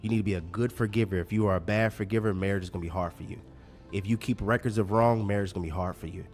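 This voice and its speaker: A man's voice: fairly low pitch, fairly even intonation, racing, a little mellow, faint music behind. A man speaking as a pastor in a candid, plainspoken talk about life, repeating phrases and addressing listeners directly. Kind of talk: candid relationship talk with faith references.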